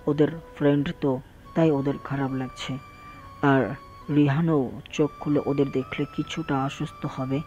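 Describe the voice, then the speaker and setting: A calm, lenient principal played by a man speaks with measured authority in an audio drama. His delivery is flat and reassuring, and the sound is dull and muffled. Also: mid-range voice, soft background music, natural voice.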